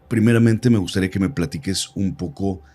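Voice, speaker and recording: A man's voice: deep voice, brisk, dark tone. A man hosting a podcast interview, introducing his guest with enthusiasm. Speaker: podcast host.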